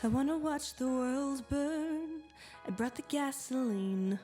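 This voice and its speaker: A woman's voice: sits high, takes it slowly. A woman performing a haughty, vengeful teenage queen bee girl in a musical theatre number. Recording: loud backing music.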